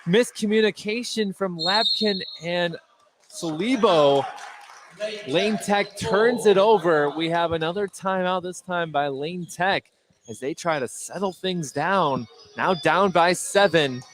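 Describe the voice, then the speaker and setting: A man's voice: sits high, slow, a crowd in the background. A man doing live play-by-play radio commentary of a basketball game as the announcer. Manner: rises to shouts on big plays. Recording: gym noise behind the voice. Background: basketball game in a gym, bouncing balls.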